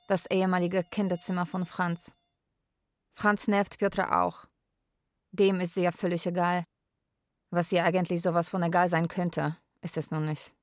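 A woman's voice: fairly low pitch, no room sound, close to an everyday voice. A woman narrating a scripted radio drama in terse, short sentences. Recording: faint music behind the voice.

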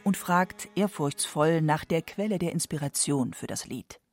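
A woman's voice: low-pitched, lively intonation, moderate pace, a little mellow, no echo, soft background music. A woman reading scripted narration as a documentary narrator.

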